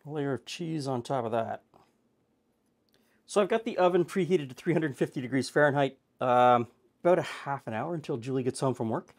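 A man's voice: mid-range voice, brisk. A middle-aged man, hosting a casual home cooking show and chatting through the steps with easy fillers. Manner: relaxed, chatty, thinking aloud with fillers.

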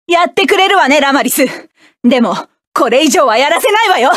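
A woman voicing a proud, hot-tempered young mecha pilot, barking sharp, clipped orders in game battle lines. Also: high voice, no echo, studio quality.

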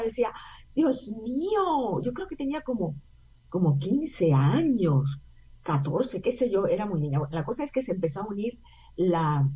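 A woman's voice: deep voice, breathy edge. Chatty Puerto Rican woman on a video call. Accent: Puerto Rican Spanish. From Puerto Rico. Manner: chatty, animated storytelling with frequent fillers. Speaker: guest, actress and singer. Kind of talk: casual interview talk. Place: home room via video call. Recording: dull lo-fi video call audio.

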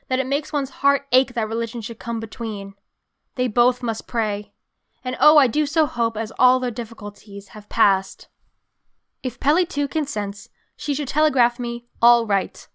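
A smooth, clear and rather high voice of an actress as an earnest aristocratic aunt in a period audio drama. She reads her letter with pious, pleading concern for young lovers. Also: fairly bright tone, lightly acted. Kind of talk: dramatic reading of an 1890s letter.

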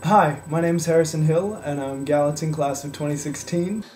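Mellow, higher-pitched young man. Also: a little fast. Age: late teens. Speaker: incoming university student. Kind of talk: webcam introduction. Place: small room, indoors. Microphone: laptop webcam. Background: faint music.

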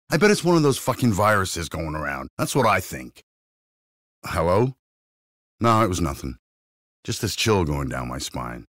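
A man voicing a gruff, grumbling, sarcastic criminal in a video game. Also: lowish voice, a bit bright, no echo, clean studio sound. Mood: dry sarcasm. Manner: grumbling, sardonic. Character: gruff, sarcastic male criminal bluffing as guard. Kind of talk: game voice lines over radio.

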